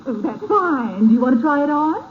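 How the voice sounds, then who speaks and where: A polite, eager-to-please saleswoman, high and lilting with lively pitch. She coaxes patiently in 1930s radio comedy style, through a tinny, narrow old broadcast sound. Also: fairly quick, background noise. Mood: courteous, coaxing. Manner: courteous, coaxing.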